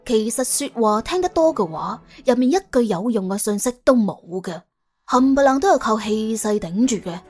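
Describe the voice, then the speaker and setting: A woman with a Cantonese accent narrating a comic crime story in a colloquial, wry, expressive way. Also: on the high side, normal speed, faint music behind. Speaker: audiobook narrator.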